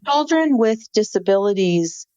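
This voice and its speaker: A woman's voice: medium pitch, animated, unhurried, mellow, clean tone, no echo, dull audio. A woman co-hosting a podcast, explaining background in a storytelling way with frequent "you know" fillers. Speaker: podcast co-host. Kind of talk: casual podcast chat.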